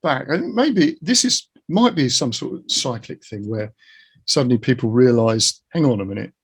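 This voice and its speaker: A middle-aged man chats in a casual interview with a husky voice and plenty of gravelly creak. He is reflective and earnest, setting out his opinions as he goes. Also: mid-range voice, animated, moderate pace.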